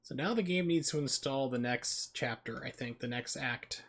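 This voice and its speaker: A man's voice: mid-range voice, moderate pace, a bit bright. A man doing casual, wry live commentary while streaming a video game. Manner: wry, joking asides. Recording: clear background noise.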